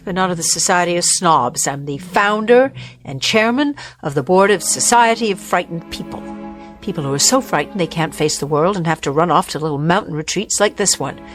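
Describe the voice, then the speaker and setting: An actress playing a haughty, sharp-tongued fashion editor in a radio drama, wordy and sarcastic to hide her nerves. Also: on the low side, lightly acted. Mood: confessional, vulnerable.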